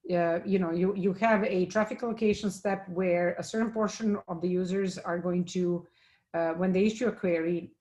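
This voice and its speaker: A woman from Serbia giving a technical conference talk and answering questions, halting often with fillers and restarts. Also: on the low side, fairly even intonation, a little mellow. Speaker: conference speaker.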